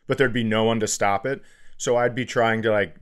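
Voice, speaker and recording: A man's voice: on the low side, fairly even intonation, fairly quick, clear, resonant tone. A man co-hosting a comedy podcast, musing through hypotheticals in casual, self-deprecating chat with some easy swearing.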